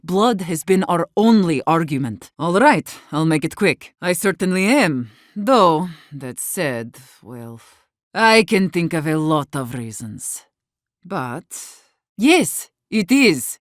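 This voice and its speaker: Low-voiced, blunt, embittered warden leader. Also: female voice, big pitch swings, takes it slowly, no echo, studio quality. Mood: bitter. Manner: blunt, guarded, sharp-tongued, swearing. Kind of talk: video game character dialogue.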